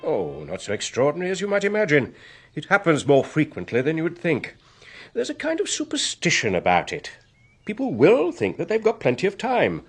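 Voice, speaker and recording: An actor playing a courteous, unflappable family solicitor in a British radio drama, with an English accent and a formal, explanatory manner. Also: male voice, on the high side, huge pitch swings, brisk, slightly rough, soft background music, full character voice. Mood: patient, wry.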